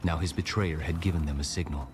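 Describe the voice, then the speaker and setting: A man narrating a dramatized audio Bible in a solemn, measured storytelling style. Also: a bass voice, fairly even intonation, background noise. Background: music.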